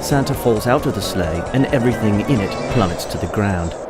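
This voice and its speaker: A man's voice: lowish voice, lively intonation, brisk, over loud music. A man giving scripted, present-tense narration for an audio play.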